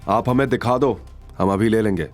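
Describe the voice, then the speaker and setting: A man voicing a confident young man who speaks calmly and with assurance. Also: on the low side, moderate pace, faint music behind, close to an everyday voice. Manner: calm, assured.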